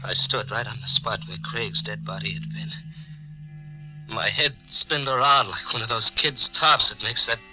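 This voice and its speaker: A man's voice: mid-range voice, steady tone, moderate pace, music in the background, close to an everyday voice. An American actor playing a nervous, cornered man who narrates his own crime story in 1940s hard-boiled radio style. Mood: bewildered, mounting panic. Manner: jittery, hesitant in dialogue, hard-boiled in narration. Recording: noisy vintage 1940s radio recording.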